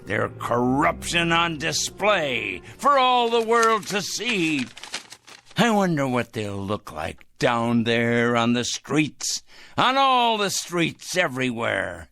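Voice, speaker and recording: An actor playing a fanatical, self-righteous, paranoid man, ranting excitably in theatrical radio drama style. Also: fairly high pitch, slow pace, vocal fry, soft background music, exaggerated voice. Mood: smug, gloating. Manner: ranting, excitable, muttering and crooning to a pet. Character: fanatical, paranoid, self-righteous male crank obsessed with punishing evildoers.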